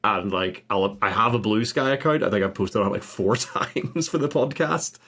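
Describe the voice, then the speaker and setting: Low-voiced man chatting casually as a guest. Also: normal speed, a bit bright. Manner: self-deprecating, informal, chuckles through remarks. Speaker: podcast guest, audio drama creator. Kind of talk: casual chat.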